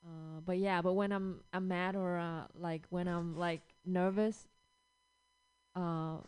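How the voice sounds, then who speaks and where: Woman with a Vietnamese accent, lowish voice. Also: little pitch movement, darkish tone, smooth. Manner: halting, searching for words, lots of "like". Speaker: guest singer. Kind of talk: casual interview chat.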